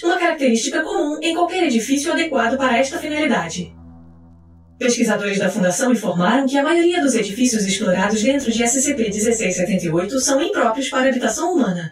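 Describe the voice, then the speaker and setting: Female narrator, high register, clinical and formal scripted delivery, noticeably roomy echo. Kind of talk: scripted documentary-style narration of fiction. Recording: roomy echo, faint music behind. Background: faint music.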